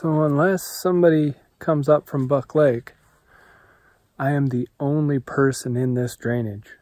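A man's voice: medium pitch, a little slow, no room sound. A middle-aged man vlogging outdoors at a forest camp by a mountain lake, talking easygoing into a phone held at arm's length. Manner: easygoing, growing excited in the storm. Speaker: solo backpacking vlogger. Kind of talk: outdoor vlog to camera.

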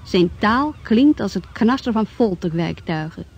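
A woman's voice: medium pitch, animated, measured pace, darkish tone, breathy edge. A woman in a Dutch radio drama playing a grim, solemn narrator with a declamatory reading style. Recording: dull sound with faint noise.

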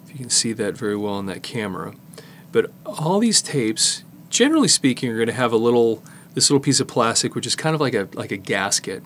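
A middle-aged man giving a casual hands-on tutorial, explaining in a halting way as he works with his hands. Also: medium pitch, sing-song, fairly bright tone, a little hiss or hum. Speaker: presenter demonstrating cassette disassembly.

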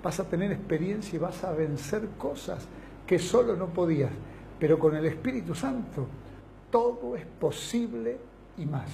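A man's voice: high-pitched, lively intonation, moderate pace, gravelly, warm tone, a little hiss or hum. A middle-aged man with an Argentine accent, a pastor giving a fervent televised invitation from a small studio set, speaking in long emphatic lists. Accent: Argentine Spanish.

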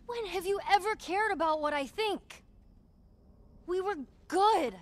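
A woman playing a guarded, hurt, sharp-tongued American teenage girl in a video game cutscene, blunt and emotionally raw. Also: extremely high pitch, measured pace, no room sound. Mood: angry, hurt.

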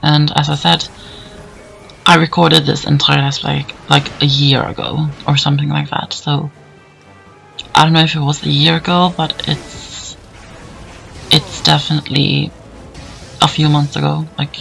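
A hoarse man giving casual, rambling commentary over gameplay, with plenty of fillers. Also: on the high side, level tone, measured pace, pauses often, bright, forceful tone, faint music behind, low-quality recording. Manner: rambling, easygoing, many fillers. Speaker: let's play commentator.

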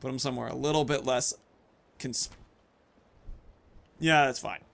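A man's voice: mid-range voice, steady tone, a little slow, creaky, fairly bright tone. A man in his thirties giving casual, rambling commentary as he plays a video game, often thinking aloud and muttering asides. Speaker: video game streamer and host.

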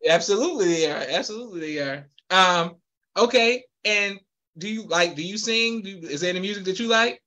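An upbeat podcast host man chats over a video call with a high, bright voice. He laughs easily and keeps saying you can't go wrong. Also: a little fast, dull audio.

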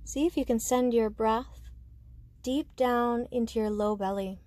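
A woman guiding a meditation with slow, soothing instruction, speaking gently and unhurriedly in short phrases with pauses. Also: fairly high pitch, fairly even intonation, no room sound. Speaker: meditation guide.

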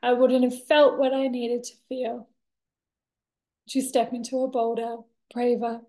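High-pitched, slow-paced reflective woman. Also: little pitch movement, mellow. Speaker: vlogger sharing a personal story. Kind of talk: candid, reflective personal monologue to camera. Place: bright indoor room with large windows. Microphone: laptop webcam at desk distance.

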